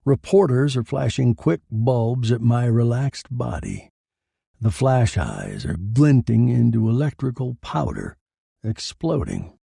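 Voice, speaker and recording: A man acts out a murdered man telling his own noir horror story. He is brooding and coldly detached, with a dark, husky, creaky voice. The delivery is dramatic and deliberate. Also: medium pitch, very animated, no echo, studio quality, heavily acted. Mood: bitter. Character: murdered man, a bitter, eerily detached dead narrator. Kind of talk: scripted first-person noir horror narration.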